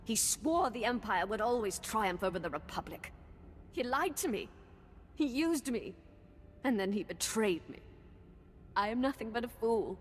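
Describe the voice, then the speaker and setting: A woman's voice: fairly high pitch, lively intonation, moderate pace, long pauses, a little hiss or hum, lightly acted. An actress playing a cruel, proud female Sith apprentice in a video game, haughty and threatening. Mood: bitter. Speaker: villain. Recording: game audio with long reverb.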